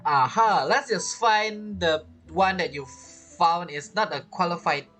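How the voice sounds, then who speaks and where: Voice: mid-range voice, a little slow, a bit bright. A game streamer giving casual, rambling and playful commentary while playing. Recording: faint game music behind the voice.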